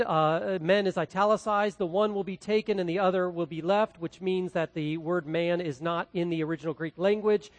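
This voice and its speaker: Dry-voiced Midwestern preacher, loud then hushed. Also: male voice, high-pitched, no echo. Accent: Midwestern American. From American Midwest. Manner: dramatic swings between loud and hushed delivery. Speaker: pastor. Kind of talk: expository sermon.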